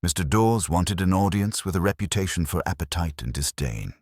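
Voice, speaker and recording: A man's voice: low-pitched, expressive, a little fast, darkish tone, dry recording, studio quality. A man voicing a stern, erudite detective who speaks in formal, precise, cutting sentences, in a mystery story. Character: stern, erudite male detective, disdainful and exacting.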